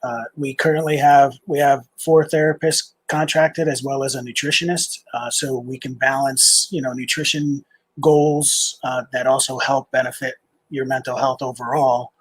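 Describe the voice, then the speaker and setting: A middle-aged man, a nonprofit founder, answering interview questions in a halting, casual way. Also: mid-range voice, fairly even intonation, no room sound, rough recording. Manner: halting, with many "uh"s and restarts.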